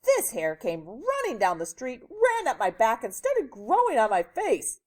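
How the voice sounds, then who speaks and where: An overwhelmed, indignant policeman in a children's storybook, acted by a woman. The voice is loud and raised high, with exasperated swoops. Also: huge pitch swings, a little slow, heavily acted. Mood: indignant, flustered. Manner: screaming, exasperated. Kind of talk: children's storybook read-aloud.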